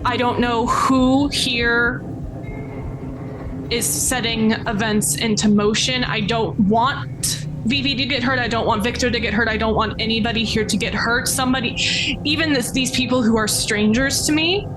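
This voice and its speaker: Higher-pitched woman playing a tabletop game. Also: normal speed, noisy background. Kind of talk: casual in-game talk. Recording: music under the voice.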